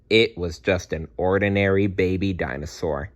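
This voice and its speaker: A man reading a children's storybook aloud in an expressive, unhurried way, adding small asides. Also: sits low, dull audio. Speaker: children's book read-aloud narrator.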